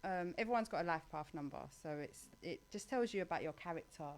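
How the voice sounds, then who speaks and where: A woman's voice: lowish voice, expressive, fast talker. Casual, slangy London woman. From East London, England. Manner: slangy, informal. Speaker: guest on a talk show. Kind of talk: casual chat interview.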